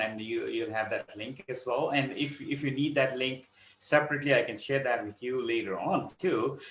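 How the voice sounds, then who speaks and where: A middle-aged man from Nepal, giving an academic conference talk over a video call in a measured, explanatory way. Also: medium pitch, fairly bright tone. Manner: measured, explanatory, pauses often to find words. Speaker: conference presenter, architecture researcher. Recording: roomy echoing sound.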